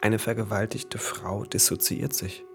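A man's voice: fairly low pitch, steady tone, a little slow, background noise. An actor in a radio play voicing a condescending male therapist with a clinical, insistent manner. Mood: patronising, insistent. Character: condescending therapist who presses his diagnosis. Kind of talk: modern radio play acting.

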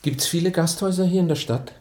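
An actor playing a reserved, dryly polite writer in a radio drama, a newcomer who speaks tersely. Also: male voice, on the high side, fast talker, a little mellow, natural voice. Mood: wry. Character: wry, reserved male writer, uneasy newcomer.

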